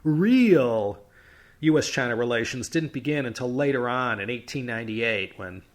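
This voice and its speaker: A man's voice: mid-range voice, expressive, moderate pace. A man hosting a history podcast, telling the story in a casual, chatty way with wry asides and stressed words.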